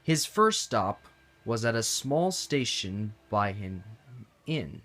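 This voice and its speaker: A man reading a children's storybook aloud, in an expressive, deliberate way. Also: on the low side. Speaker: read-aloud narrator.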